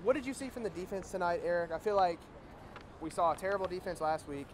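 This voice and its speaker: A young man co-hosting a postgame sports recap, outdoors in a big stadium at night on a lapel mic, briskly leading the talk and asking questions. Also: high voice, lively intonation. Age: young adult. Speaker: co-host and sports editor. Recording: stadium crowd noise behind.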